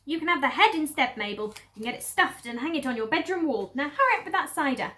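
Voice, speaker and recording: A woman's voice: high-pitched, expressive, fast talker, bright, forceful tone, voice pushed up. Woman doing a bossy, impatient farmer's wife.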